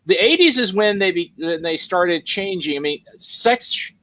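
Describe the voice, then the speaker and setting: A man's voice: high voice, expressive, measured pace, bright, forceful tone, no room sound. A man casually hosting a panel discussion in a conversational, informal way. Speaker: panel host.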